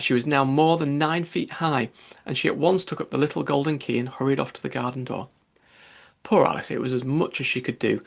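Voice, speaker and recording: Husky, creaky-voiced male storybook narrator. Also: mid-range voice. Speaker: audiobook narrator.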